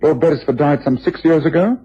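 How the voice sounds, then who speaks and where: An actor playing a keen, urbane, wry English gentleman detective in a radio drama, with a British accent. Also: a little high, a little fast, husky. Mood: brisk, courteous. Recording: muffled, hazy old radio drama recording.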